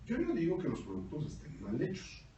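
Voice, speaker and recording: A man's voice: fairly high pitch, wildly swooping pitch, brisk, warm tone, lo-fi sound, slight background noise. A Mexican man co-hosting a casual panel chat, telling wry stories with a Mexican Spanish accent. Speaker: co-host. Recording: very echoey room, mic at a distance.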